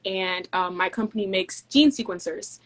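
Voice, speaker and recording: A young adult woman from Denmark, a guest in a casual interview, explaining her engineering work in a measured, friendly way. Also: mid-range voice.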